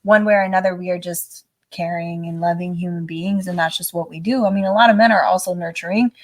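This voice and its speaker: A woman co-hosting a casual chat podcast, frank and quick to laugh, with a deep voice for a woman. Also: fairly even intonation, no echo. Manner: frank, laughs easily, lots of short agreements. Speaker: podcast co-host.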